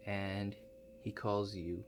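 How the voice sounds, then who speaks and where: A man playing an awkward, hesitant operator of a strange future-viewing machine in a soft-spoken ASMR roleplay, halting and understated. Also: fairly low pitch, level tone, slight background noise. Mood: matter-of-fact, hesitant. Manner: halting, understated, mumbled asides with many pauses.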